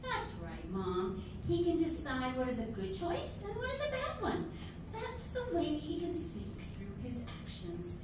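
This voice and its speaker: Woman playing a high-voiced breezy young mom, echoey. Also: wildly swooping pitch, measured pace, heavily acted. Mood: earnest, indulgent. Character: breezy, indulgent modern young mother, slangy and assured. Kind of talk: amateur readers theatre performance. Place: senior center hall, live performance. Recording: echoey hall, distant mic, noisy room.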